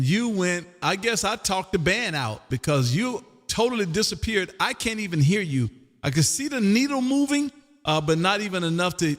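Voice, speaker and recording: A loud, folksy man with a slightly high voice, chatting as a ham radio operator. He is exuberant and tends to repeat himself. The sound carries a long, roomy reverb. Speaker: amateur radio operator hosting a live stream. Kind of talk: casual ham radio chat. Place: home radio room.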